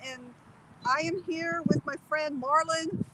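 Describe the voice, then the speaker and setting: A woman's voice: very high-pitched, steady tone, unhurried. A woman hosting a live interview outdoors, bubbly and chatty, with many fillers. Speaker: host. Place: outdoors by a bay. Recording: loud outdoor street noise.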